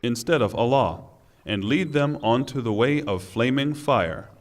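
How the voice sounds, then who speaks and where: A man's voice: mid-range voice, lively intonation. Solemn man reading scripture with long reverb. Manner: solemn, deliberate, formal. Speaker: reader of Quran translation.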